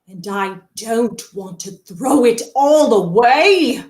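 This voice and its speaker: An actress in a 1930s-style radio play voicing a frail, dutiful dying woman who speaks haltingly, with sighs. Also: fairly high pitch, expressive, darkish tone, reverberant. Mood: weak, pleading. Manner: halting recitation with sighs and gasps. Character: dying music-hall memory woman, frail, earnest, dutiful.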